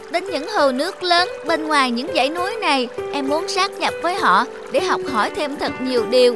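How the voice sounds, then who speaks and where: A woman voicing an earnest, emotional young stream spirit who yearns and pleads, in a children's cartoon fable. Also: sits high.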